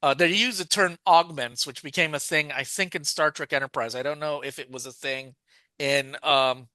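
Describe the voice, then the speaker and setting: A man's voice: a little high, steady tone, normal speed, bright, forceful tone, dry recording. A man co-hosting a podcast, chatting casually and rambling with lots of fillers and self-corrections. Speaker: podcast co-host. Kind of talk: casual chat about a TV show.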